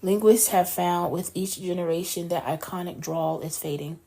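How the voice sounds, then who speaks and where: A woman from North Carolina who co-hosts a relaxed chat show, moving from reading news items into easygoing talk. Her voice sits a little low and has a warm, mellow tone. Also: moderate pace, gravelly, poor audio. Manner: chatty, reads news items then riffs on them. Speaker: podcast co-host.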